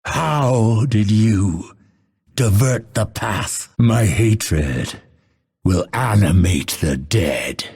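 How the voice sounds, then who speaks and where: An actor voicing a grim, vengeful male assassin in a video game, husky and gravelly, with measured, solemn, dramatic delivery. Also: fairly low pitch, lively intonation, a little mellow, full character voice. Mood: bitter, vengeful. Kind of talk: scripted game character voice lines.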